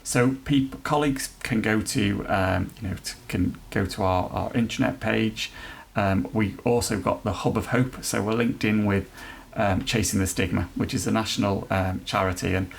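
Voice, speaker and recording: A man who leads an NHS trust's inclusion work, answering podcast questions in an earnest, halting way with many restarts. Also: on the low side, fairly even intonation, gravelly, slight background noise. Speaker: NHS trust leader chairing an inclusion council.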